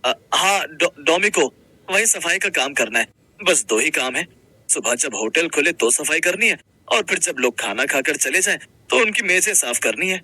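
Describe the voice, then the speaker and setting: A man playing a simple, humble working husband, gentle, earnest and a little hesitant, in a Hindi moral-story cartoon. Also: a little high, expressive, moderate pace, husky, no echo, exaggerated voice. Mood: relieved.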